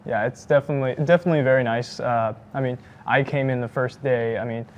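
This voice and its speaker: A teenage boy, a high school student being interviewed, who hedges a lot with "I mean" and "you know.". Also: steady tone, muffled recording. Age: teens. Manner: rapid, hedging, lots of "I mean" and "you know".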